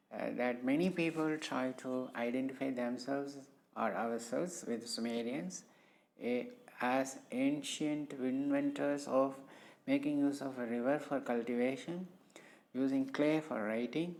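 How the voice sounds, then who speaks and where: Man, middle-aged, Indian English accent, native Tamil speaker, unhurried halting delivery, frequent ums and uhs, mid-pitched. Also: fairly even intonation, a little mellow. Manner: halting, many pauses and fillers. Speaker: civil engineering professor presenting his research. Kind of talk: slow, hesitant screen-recorded talk.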